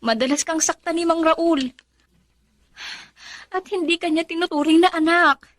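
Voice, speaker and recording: A woman playing a frank, concerned young friend in a Tagalog radio drama, caring and gently reproachful. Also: very high voice, fairly even intonation, normal speed, no room sound, studio quality. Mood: concerned, chiding.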